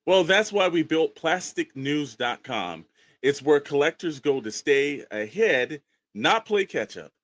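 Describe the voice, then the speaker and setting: A man's voice: a little high, sing-song, a little slow, fairly bright tone. A man co-hosting a podcast with casual banter and easygoing ad reads. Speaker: podcast co-host.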